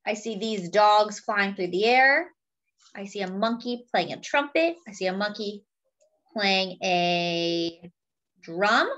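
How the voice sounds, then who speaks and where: A woman reading a picture book aloud to young children and commenting on the pictures, expressively and with pauses for emphasis. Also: medium pitch, lively intonation, a bit bright, muffled recording. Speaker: children's storybook reader.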